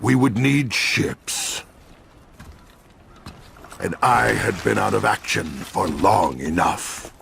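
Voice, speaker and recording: An actor playing a gruff, proud Viking warlord with a gravelly voice in a dramatic video game. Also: male voice, on the low side, slow pace, long pauses, noisy background, exaggerated voice. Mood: proud, solemn. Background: loud cinematic music.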